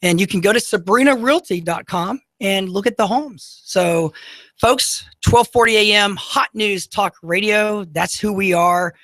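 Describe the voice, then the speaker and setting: Man in his 30s, high unusual voice, upbeat talk radio host, dry studio sound. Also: measured pace, fairly bright tone, no room sound. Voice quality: high, unusual voice often mistaken for a woman. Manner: upbeat, motivational, many fillers. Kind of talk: talk radio monologue with ad reads. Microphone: studio microphone.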